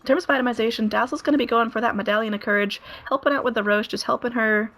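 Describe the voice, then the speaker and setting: A woman giving live play-by-play commentary on an esports match. Her voice is hoarse, and she keeps up a running narration with frequent "uh" fillers. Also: on the high side, steady tone, racing, fairly bright tone, faint music behind.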